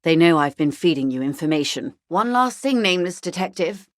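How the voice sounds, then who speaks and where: A woman playing a rich, weary, shrewd corporate negotiator in a video game, speaking in a measured, eloquent, worldly way. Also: lowish voice, dry recording, clean studio sound. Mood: urgent, grave. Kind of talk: literary video game dialogue acting.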